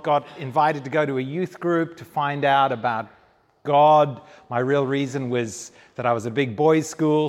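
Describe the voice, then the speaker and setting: A man from Zambia, a guest speaker telling his life story with self-deprecating humor in an onstage interview. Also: fairly high pitch. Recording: long hall reverb.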